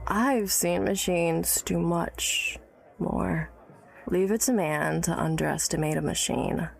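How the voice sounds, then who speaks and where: A woman's voice: fairly low pitch, slow, creaky, husky, exaggerated voice. An actress playing an educated, tipsy, wry woman of early-1900s New York in a period audio drama, teasing and guarded. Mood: boastful, tipsy. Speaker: storyteller recalling her childhood.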